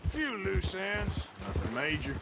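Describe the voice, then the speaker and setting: A man's voice: sits high, very animated, unhurried, very noisy. An actor playing a weary, wry outlaw gunman with an American accent, dry and plain-spoken, in a naturalistic game performance. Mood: weary, guarded.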